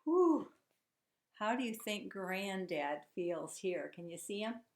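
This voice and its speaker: A woman's voice: mid-range voice, big pitch swings, slow pace, darkish tone. A woman teacher reading a children's storybook aloud, encouraging and putting questions to her young listeners. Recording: echoey room.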